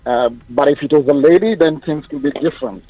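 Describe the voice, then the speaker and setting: A man calling in to a radio show, answering in a halting, hesitant way. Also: fairly high pitch, no echo, dull audio. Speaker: caller.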